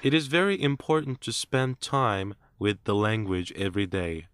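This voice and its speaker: Low-voiced man reading a test script. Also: no echo. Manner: measured, clear reading. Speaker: test narrator and interviewee.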